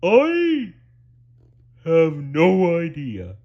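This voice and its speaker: A man voicing a dim, eager, good-natured cartoon starfish, loud and simple-minded, with a husky voice. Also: on the high side, huge pitch swings, extremely slow, dull audio, pitched above the performer's own voice, full character voice. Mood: baffled.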